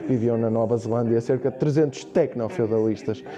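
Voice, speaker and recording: A man's voice: medium pitch, brisk, warm tone, natural voice. A man with a European Portuguese accent playing a chronicler of future wars and revolution in a radio drama. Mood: recounting. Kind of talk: scripted narration in a radio drama.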